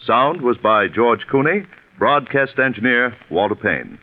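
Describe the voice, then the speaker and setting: A man's voice: medium pitch, sing-song, a little slow. An American man working as a radio announcer, reading a formal script in 1940s broadcast style. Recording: tinny, band-limited 1940s radio recording. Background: organ music.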